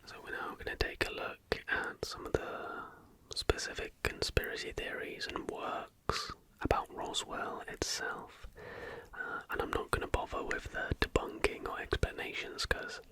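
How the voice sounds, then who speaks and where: ASMR host, unvoiced whisper, breathy, hushed, measured scripted narration. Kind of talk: ASMR reading of conspiracy history, scripted narration with brief asides.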